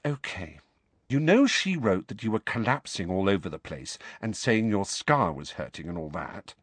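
A man reading an audiobook, voicing an anxious, clever teenage girl who explains things rapidly and earnestly. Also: fairly low pitch, very animated, a bit bright, breathy edge, no room sound, heavily acted. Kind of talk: audiobook character voice.

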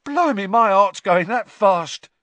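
A man voicing an excitable young male bus conductor who gossips and keeps seeking agreement. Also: sits high, takes it slowly, no echo, voice pushed up, heavily acted. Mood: excited gossip.

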